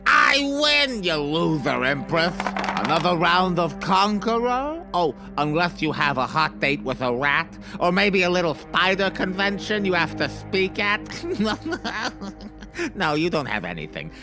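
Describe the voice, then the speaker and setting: A man's voice: high voice, big pitch swings, a little slow, over music, full character voice. A man playing a childish, petulant villain king who mocks and gloats theatrically in a fantasy audio drama. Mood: smug, taunting. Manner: bratty, theatrical, mocking.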